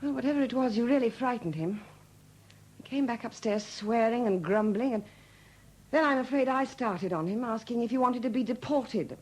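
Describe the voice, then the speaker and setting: An actress playing a wry, bitter widow with a husky, expressive voice in a 1970s radio drama. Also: female voice, a little high, moderate pace, lightly acted, poor audio. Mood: bitter, confiding. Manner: sardonic, expressive.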